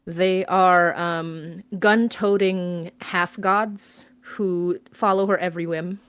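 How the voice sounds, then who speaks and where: A woman's voice: fairly low pitch, steady tone, slow, smooth, no room sound. Dry-sounding woman, casual and enthusiastic. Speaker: guest on a fundraising livestream. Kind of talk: casual livestream chat.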